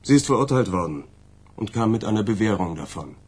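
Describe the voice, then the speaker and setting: An actor playing a smug federal agent in a radio crime drama, insinuating and coolly menacing. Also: male voice, on the low side, very animated, brisk, darkish tone, slightly rough, full character voice. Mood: coldly threatening.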